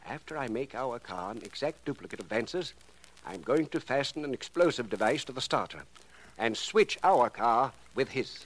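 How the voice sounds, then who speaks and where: A man acting in a 1940s radio drama as a cold, precise, self-assured criminal professor who speaks formally and deliberately. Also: mid-range voice, lively intonation, husky. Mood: scheming, self-satisfied. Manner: deliberate, educated, formal wording. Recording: noisy vintage radio recording.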